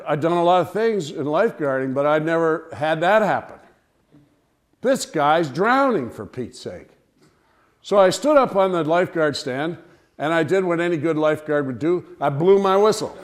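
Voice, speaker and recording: A man telling a humorous personal anecdote to listeners in an animated, theatrical way. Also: on the high side, moderate pace. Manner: animated, theatrical storytelling with acted-out cries and dialogue. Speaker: storyteller, speaker to an audience.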